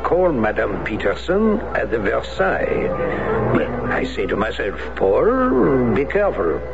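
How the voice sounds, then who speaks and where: A man's voice: medium pitch, creaky. An actor playing a suave, wary middle-aged Frenchman in a radio drama, with a courtly, theatrical delivery. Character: suave, wary Frenchman, former Resistance fighter. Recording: dull vintage radio recording.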